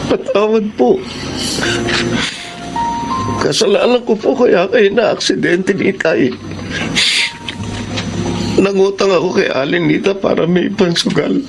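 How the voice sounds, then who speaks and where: A man's voice: sits high, slow pace, creaky, pauses often, music in the background, heavily acted, rough recording. A young man playing a wayward, remorseful gambler son in a Filipino radio drama.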